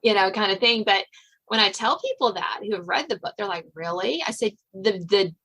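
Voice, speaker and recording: An American woman hosting a casual interview about writing, talking in an animated, conversational way. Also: medium pitch, brisk, husky. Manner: animated, conversational, quotes others' reactions in dialogue. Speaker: podcast host and suspense novelist. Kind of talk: casual author interview chat.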